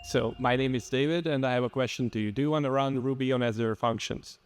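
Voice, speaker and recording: A man's voice: mid-range voice, fast talker, a little hiss or hum. A man with a Czech accent speaking informally as he presents a technical lightning talk, with a dryly joking, plain-spoken manner. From Czech Republic.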